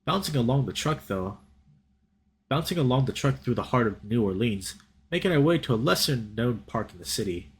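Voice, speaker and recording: A young man doing a playful, expressive let's play, reading a visual novel aloud and bantering with his chat. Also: mid-range voice, moderate pace, a little hiss or hum. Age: young adult.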